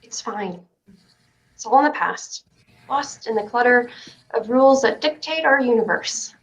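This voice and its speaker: A woman's voice: a little high, expressive, unhurried, low-quality recording. A woman playing a stubborn, dreamy child who plays astronaut, in a staged play reading. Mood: dreamy, musing.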